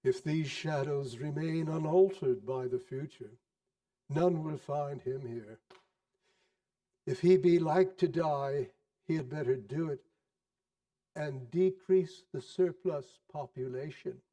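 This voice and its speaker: A man voicing a stern, solemn ghostly spirit in a storybook performance, speaking slowly and gravely. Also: on the high side, mellow, far from the mic. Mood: grave, foreboding.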